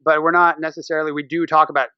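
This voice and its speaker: A man's voice: fairly high pitch, flat delivery, very fast, clear, resonant tone, no echo, lo-fi sound. A man chatting casually as a podcast guest, rambling playfully as he tells stories. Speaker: podcaster and amateur voice actor. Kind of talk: casual interview chat.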